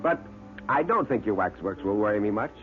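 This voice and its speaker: A man's voice: lowish voice, expressive, a little fast, soft background music, lightly acted. Man acting an uneasy journalist, muffled old radio. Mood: casual bravado. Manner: hesitant, stammering, politely understated. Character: uneasy male journalist bluffing calm in a waxworks. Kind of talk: classic radio horror acting. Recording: muffled narrow-band old radio recording.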